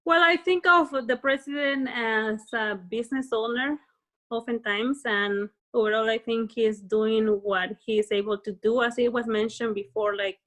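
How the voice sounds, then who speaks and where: A woman from Peru speaking on a panel in a measured, thoughtful way. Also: a little high, steady tone, a bit bright, poor audio. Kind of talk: casual discussion.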